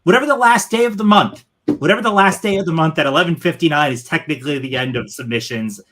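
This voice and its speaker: A man's voice: a little high, quick pace, a bit bright. A man in a casual online chat, hesitant and searching for words. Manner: hesitant, many fillers and restarts. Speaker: guest creator.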